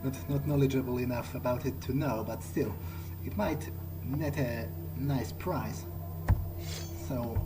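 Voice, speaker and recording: A man narrates a video game in character at a slow pace. He thinks aloud in short, halting phrases, in a mid-range voice. Game music plays clearly behind him. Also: medium pitch, rough recording. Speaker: gamer playing in character.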